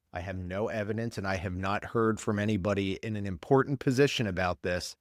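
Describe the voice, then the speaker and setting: A man's voice: fairly low pitch, no room sound, studio quality. A man hosting a podcast, sounding congested and stuffed up, rambling and blunt in casual commentary. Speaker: podcast host, paramedic.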